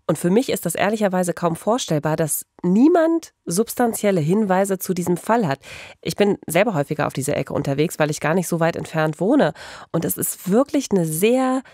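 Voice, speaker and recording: A woman's voice: lowish voice, expressive, moderate pace, darkish tone, no room sound, studio quality. A woman hosting a true-crime podcast, talking in an empathetic and personal conversational way. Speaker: podcast host.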